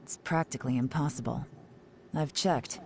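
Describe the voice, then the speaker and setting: Cool, low-voiced shrewd investigator. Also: female voice, vocal fry. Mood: composed, analytical. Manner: composed, knowing, measured. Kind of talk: video game voice acting.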